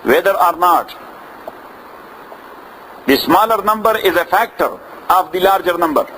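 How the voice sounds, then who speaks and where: A man's voice: high voice, measured pace, bright, forceful tone, a little hiss or hum, low-quality recording. A man with a Pakistani accent, English not his first language, lecturing to students, repeating phrases and checking that they follow. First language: neither Arabic nor English. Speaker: teacher. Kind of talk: classroom lecture on inheritance law.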